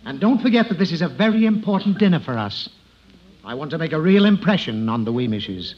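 An actor playing an exasperated, eager-to-please father in 1950s radio comedy, excitable and flustered. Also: on the high side, brisk. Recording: muffled, very noisy old radio recording.

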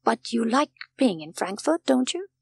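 Woman playing a kindly grey-haired gentleman doctor, husky. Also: fairly high pitch, sing-song, moderate pace, pitched above the performer's own voice, heavily acted. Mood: kindly, soothing. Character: kindly, jovial grey-haired male doctor, calm and reassuring.

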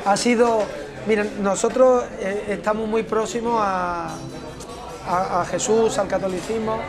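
Soft-spoken man chatting over a meal. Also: high-pitched, fairly even intonation, music in the background. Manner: unhurried, reflective, repeats words as he searches. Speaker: interview guest, former footballer. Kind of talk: relaxed conversation over a meal. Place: restaurant by large windows.

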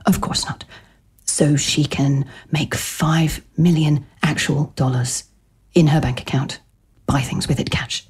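An actress playing a pushy, upbeat new-age book agent with a breathy voice in an audio romantic comedy. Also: female voice, sits low, fairly even intonation, slow, darkish tone, heavily acted. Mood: soothing, pushy. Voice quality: breathy, husky. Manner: persuasive, breezily insistent.

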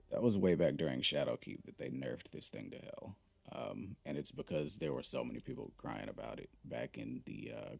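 A man's voice: a bass voice, gravelly, darkish tone, husky, no room sound. A man hosting a gaming video, chatting casually and rambling with lots of fillers as he plays. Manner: laid-back, rambling, many fillers. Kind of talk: casual gameplay commentary.

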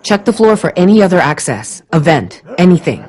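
Casting: practical apocalypse survivor for a game, direct, very varied intonation. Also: female voice, on the low side, very animated, brisk, darkish tone, husky. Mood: stern. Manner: curt, direct. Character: no-nonsense, practical woman surviving zombie apocalypse. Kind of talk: dramatic video game acting.

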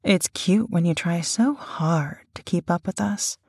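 Woman acting a playful sweetheart, low husky voice, intimate audio drama, dry close sound. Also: a little slow, darkish tone, no room sound. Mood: playful, teasing. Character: teasing, playful, literary female sweetheart. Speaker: voice actor reading love letters.

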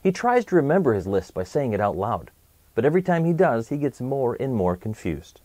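A man in his thirties hosting a children's read-aloud, presenting picture books in an expressive storytelling way. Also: medium pitch, fairly quick, no echo. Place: small indoor room set, seated.

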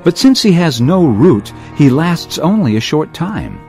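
An actor playing an earnest man teaching crowds in parables, in a measured storytelling delivery for a dramatised audio Bible. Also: a little high, big pitch swings, darkish tone. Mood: instructive. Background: music.

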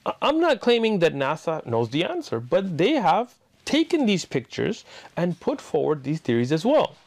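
A man's voice: on the high side, huge pitch swings, moderate pace, a little mellow. A man giving an explanatory religious talk in an emphatic manner, posing rhetorical questions to his audience. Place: indoor room with bookshelves.

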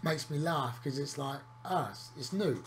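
A husky-voiced man joins as a guest and chats easily about a wrestling game. His voice sits a little higher than most men's, with a relaxed, agreeable manner. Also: unhurried, a little hiss or hum. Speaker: guest co-commentator. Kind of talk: casual game commentary.

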